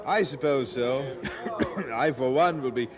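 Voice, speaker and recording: Actor as an earnest, resolute preacher of old. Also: male voice, mid-range voice, slow, dull audio, a crowd in the background, close to an everyday voice. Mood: wry, resolute. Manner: emphatic, formal, declamatory. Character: earnest, resolute 18th-century English preacher. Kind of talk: audio drama acting.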